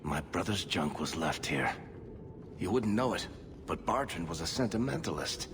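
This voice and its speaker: A man's voice: low voice, big pitch swings, moderate pace, noisy background. A man voicing a wry, loyal dwarf rogue and storyteller in a video game cutscene, with a dry, sardonic manner. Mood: wistful, reflective.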